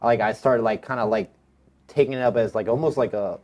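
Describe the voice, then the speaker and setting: Fast-talking man, slangy and casual. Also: fairly low pitch, darkish tone. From United States. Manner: informal, slangy, with frequent swearing. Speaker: tattoo artist, podcast guest. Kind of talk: casual conversational storytelling.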